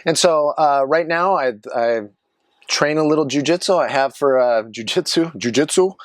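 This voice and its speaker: A man's voice: a little high, normal speed, no echo, studio quality. A man in his forties, rambling casually to camera from a small garage office, chatty and self-mocking with wandering asides. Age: 40s. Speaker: vlogger and live streamer.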